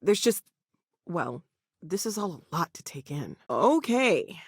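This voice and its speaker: A woman's voice: fairly low pitch, very animated, takes it slowly, creaky, husky, no echo, full character voice. Brainy lady scientist for a video game, earnest n a bit anxious, dry studio sound. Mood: focused, earnest. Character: earnest, brainy young woman scientist, eager but anxious.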